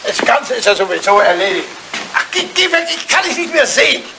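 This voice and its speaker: An irascible old English aristocrat in a radio drama. He sputters and repeats himself in a high-pitched voice that swings wildly up and down. The old recording is clearly noisy, with clicks. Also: sing-song, brisk, exaggerated voice. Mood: furious. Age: older. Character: irascible, self-pitying aging English lord, jilted suitor. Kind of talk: radio play acting.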